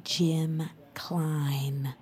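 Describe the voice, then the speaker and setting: A woman's voice: deep voice, flat delivery, extremely slow, darkish tone. An actress playing a sassy, folksy, friendly woman, teasing and effusive, in an audio drama. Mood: gossipy, cautioning.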